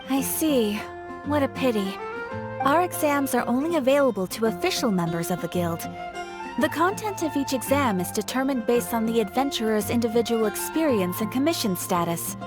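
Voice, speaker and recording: A woman's voice: on the high side, lively intonation, normal speed, over music, lightly acted. A woman voicing a courteous, formal guild receptionist in a video game, polite and helpful. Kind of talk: scripted video game character acting.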